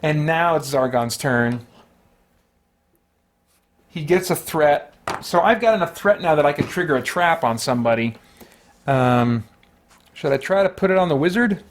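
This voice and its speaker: A man's voice: a little high, expressive, moderate pace, long pauses. A man hosting a solo tabletop game, casually narrating his moves and talking himself through rules and choices. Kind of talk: casual play-by-play, thinking aloud.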